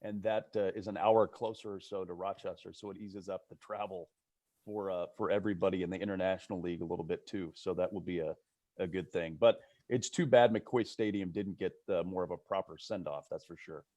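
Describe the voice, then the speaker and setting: A man with a low voice talks casually as a guest on a video call, like a sportscaster off the air. The sound is close and dry, with very little room. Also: steady tone, fairly quick, dark tone, dry recording. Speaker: baseball play-by-play broadcaster as guest. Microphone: video call microphone.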